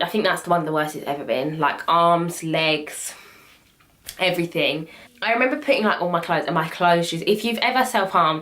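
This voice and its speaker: A young woman in her twenties talks openly to a camera set up in her small bedroom. Her voice sits a little low for a woman, and she keeps her speech loose and chatty, full of "like" and restarts. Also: fairly bright tone. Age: 20s. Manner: chatty, candid, frequent "like". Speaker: vlogger. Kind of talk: candid talk to camera. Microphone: camera at desk distance.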